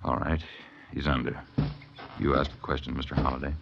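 Low-voiced firm psychiatrist. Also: male voice, level tone, brisk, gravelly, very noisy. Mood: clinical, reassuring. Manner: explanatory, firm, clinical. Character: authoritative, reassuring male psychiatrist. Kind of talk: 1940s radio drama acting. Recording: dull 1940s radio recording.

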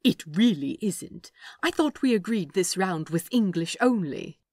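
Prim male angel voiced by a woman: slow, precise, prissy, indignant but fond, very expressive. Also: medium pitch, dry recording, studio quality. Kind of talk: fan fiction dialogue reading.

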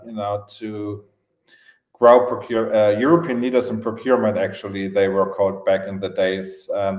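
A man with a German accent telling his story casually as a podcast guest, in halting phrases with repeated words. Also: lowish voice, a little mellow. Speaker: podcast guest, events entrepreneur. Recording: roomy, echoing podcast audio.

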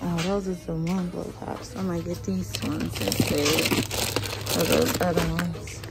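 Woman, thirties, casual shop-along chatter, low voice, loud store noise and rustling bags. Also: fairly even intonation, a little slow, creaky. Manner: muttering to herself while browsing. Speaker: vlogger. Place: indoors in a large store. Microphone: handheld phone. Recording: loud store ambience and crinkling packages.

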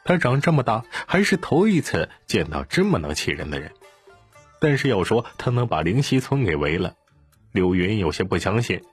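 Male audiobook narrator, low-pitched and slightly husky, scripted storytelling over faint music. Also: very animated, normal speed.

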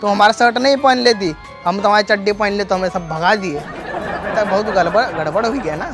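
High-pitched cheeky Bhojpuri-accented young man. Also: brisk, crowd noise. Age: young adult. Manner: rustic, teasing, cheeky. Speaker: prankster. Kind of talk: staged loud phone chat for a street prank. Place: outdoors in a leafy park. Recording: outdoor recording with music underneath. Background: music.

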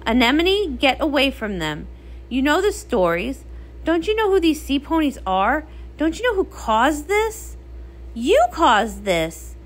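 Woman as cartoon sea pony queen, female, haughty, commanding, accusing, animated pitch. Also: unhurried.

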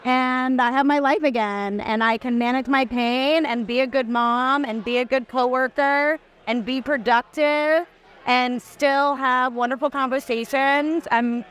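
Woman, 30s, slightly high voice, earnest, interview guest. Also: steady tone, unhurried, a bit bright, smooth. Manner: earnest, advocating. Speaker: guest, cannabis ambassador. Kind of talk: interview answers. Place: booth at an expo hall.

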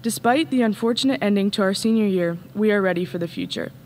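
A woman's voice: medium pitch, fast, darkish tone, a little hiss or hum. Teen girl reading a graduation speech. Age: late teens. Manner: earnest, reading from notes. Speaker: student speaker. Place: empty school auditorium, at a podium. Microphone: podium mic.

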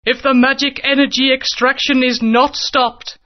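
A woman's voice: high-pitched, flat delivery, normal speed, lightly acted. A woman voicing a solemn dinosaur tribe mother. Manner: earnest, solemn, insistent. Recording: dull lo-fi game audio.

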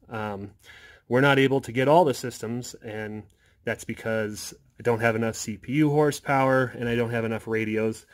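A man presenting his hobby project in a casual, hands-on tech walkthrough, explaining things informally into a handheld phone. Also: medium pitch. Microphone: handheld phone behind the camera.

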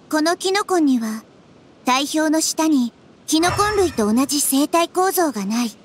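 A woman voicing a wise, gentle child-like nature deity in an anime game, calmly and thoughtfully explaining in a soft girlish voice. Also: high-pitched, slight background noise.

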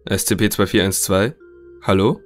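A man's voice: fairly low pitch, normal speed, soft background music, close to an everyday voice. A man playing a polite research scientist who interviews test subjects in a scripted audio drama. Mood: calm, inquiring.

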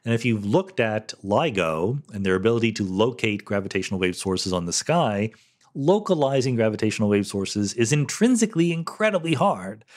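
A man's voice: fairly low pitch, very animated, normal speed. A middle-aged man hosting a solo listener-question podcast, explaining science in a thoughtful, conversational way and checking in with "right?" and "okay?".

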